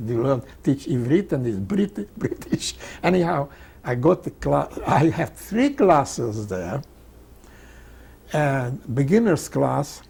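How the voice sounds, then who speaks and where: Gravelly elderly Hungarian-accented man. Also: medium pitch, warm tone. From Czechoslovakia, Hungarian-speaking. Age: 80s or older. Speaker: Holocaust survivor telling his life story. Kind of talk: oral history interview, personal storytelling.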